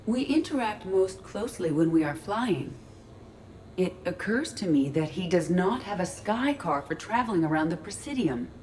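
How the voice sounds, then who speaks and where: An actress playing a curious, literal ship's artificial intelligence in a video game, speaking precisely and formally with a deadpan delivery. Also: female voice, lowish voice, normal speed, slight background noise, close to an everyday voice. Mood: measured, curious. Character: female ship artificial intelligence in android body, curious, literal, earnest. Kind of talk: video game dialogue acting.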